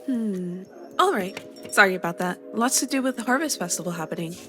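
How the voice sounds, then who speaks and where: A woman voicing a friendly, busy young guild master who welcomes a new hire in a warm, slightly bossy way. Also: mid-range voice, expressive, a little fast. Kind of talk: scripted voice drama. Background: faint background music.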